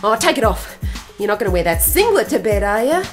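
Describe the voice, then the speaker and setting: A woman's voice: medium pitch, sing-song, brisk, over music, natural voice. An actress with an Australian accent playing a brash, flirtatious woman in a radio play, teasing, earthy and bossy. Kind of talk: radio drama acting.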